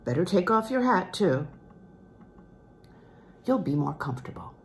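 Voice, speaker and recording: A woman's voice: on the low side, sing-song, unhurried, a little hiss or hum. Woman reading as a courteous, worldly male singing teacher. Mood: polite, assured. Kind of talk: storybook character reading from a literary novel.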